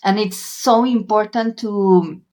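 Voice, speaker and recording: A woman's voice: medium pitch, slow, warm tone. A woman hosting a podcast, speaking in a reflective, affirming way. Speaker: podcast host. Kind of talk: casual podcast conversation.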